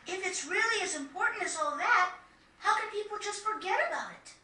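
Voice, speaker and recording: A woman voicing a small, curious, blunt child with a squeaky, casual delivery in an animated video game cutscene. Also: brisk, full character voice. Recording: roomy, distant game capture with faint noise.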